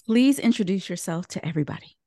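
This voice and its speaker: A woman hosting a podcast, bubbly and quick to exclaim while welcoming a guest. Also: lowish voice, expressive, mellow, dry recording, clean studio sound. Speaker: podcast host. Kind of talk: casual podcast chat.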